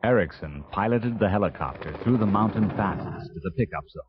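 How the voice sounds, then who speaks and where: A man's voice: on the low side, expressive, a little fast, background noise. A man reading scripted narration for a children's read-along adventure story. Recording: dull 1980s cassette tape.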